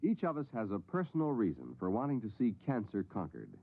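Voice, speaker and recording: A man announces in 1950s radio style with a fairly low, extremely animated voice. He reads a scripted public appeal. The old recording is thin and phone-like. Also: brisk.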